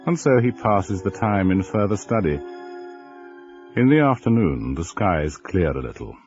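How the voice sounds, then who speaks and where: An actor as the grave, deliberate narrator of a radio ghost story, telling it with suspense. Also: male voice, low voice, a little mellow. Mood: measured. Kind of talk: scripted dramatic narration of a horror story. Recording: dull lo-fi old radio recording.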